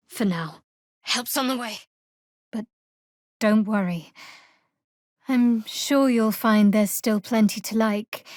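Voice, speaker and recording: A woman voicing a guarded, wry and secretive young female cleric in a fantasy video game, with a measured, dry delivery. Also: mid-range voice, dry recording, lightly acted. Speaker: video game character voice actor. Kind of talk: video game voice lines, dramatic dialogue and spell calls.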